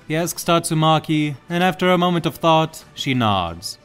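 A man's voice: a little high, big pitch swings, normal speed, a bit bright, clean tone, faint music behind. Male narrator of a dramatic story.

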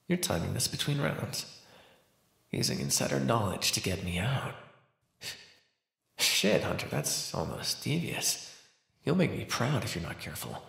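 Male actor as wry, wounded vampire for ASMR roleplay: creaky, swooping intonation, teasing but worn out, noise behind. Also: mid-range voice, sing-song, fairly bright tone, heavily acted. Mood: weary, grudging. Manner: wry, halting, murmured asides with sighs. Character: sardonic, weakened captive male vampire, teasing and weary. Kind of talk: intimate ASMR roleplay acting.

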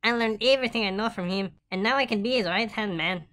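A woman voicing a small, starstruck young male taco in a cartoon, earnest and excitable. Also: mid-range voice, quick pace, natural voice. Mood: excited. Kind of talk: animated cartoon voice acting.

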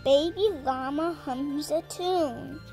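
A seven-year-old girl reading a children's story aloud in a deliberate, sing-song, emphatic way. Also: high voice, a little mellow, faint music behind. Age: child, seven years old. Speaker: storyteller reading a picture book.

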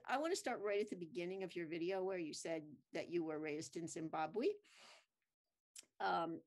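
A woman hosting an interview over a video call, asking friendly, rambling questions with many fillers. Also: lowish voice. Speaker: interviewer host. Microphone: webcam on a video call.

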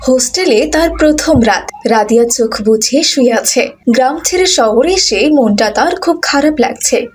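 A woman's voice: sits high, expressive, moderate pace, husky. A woman giving scripted narration for a children's cartoon story.

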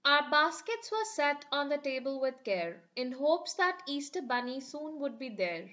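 A woman's voice: sits high, big pitch swings, measured pace, pushed and bright, highs cut off. A woman reading a rhyming picture book aloud for children in a sing-song storytelling manner.

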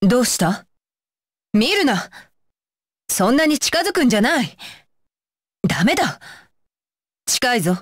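A woman's voice: fairly high pitch, very animated, measured pace, long pauses, no room sound, clean studio sound. A woman voicing a stern, dutiful young female ninja bodyguard for an anime game, with clipped, commanding and blunt phrasing. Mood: flustered, guarded. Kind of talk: Japanese anime game voice lines.

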